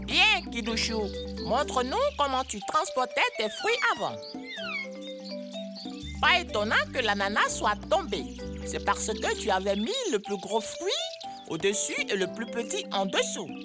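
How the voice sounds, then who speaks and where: A woman's voice: on the high side, big pitch swings, a little slow. A woman voicing a bossy, motherly bird teacher in an educational children's cartoon, emphatic and didactic. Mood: explaining. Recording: music under the dialogue.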